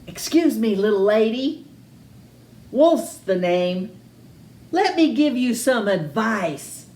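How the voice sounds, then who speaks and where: A woman's voice: a little high, very animated, takes it slowly, darkish tone, reverberant. A woman voicing a sly male wolf in a storybook reading, talking in a wheedling, confiding way. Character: sly scheming male wolf, smooth talker.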